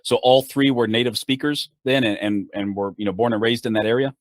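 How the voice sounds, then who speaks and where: A man hosting a podcast interview, reacting with quick interjections and asking questions. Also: mid-range voice, fairly even intonation, no echo, clean studio sound. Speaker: podcast host.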